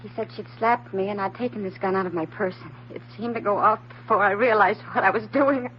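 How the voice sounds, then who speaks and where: A woman's voice: medium pitch, close to an everyday voice. Woman acting a frightened, hesitant radio-drama heroine. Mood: distressed. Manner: hesitant, halting, earnest. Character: frightened, naive woman, anxious and pleading. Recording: dull, slightly noisy old radio recording.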